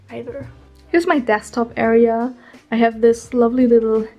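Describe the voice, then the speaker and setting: A young woman in her twenties, chatting casually and rambling with many ums as she tours her home art workspace, her voice a bit hoarse from being ill. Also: fairly high pitch, a little mellow. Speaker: artist vlogger. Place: small room at home.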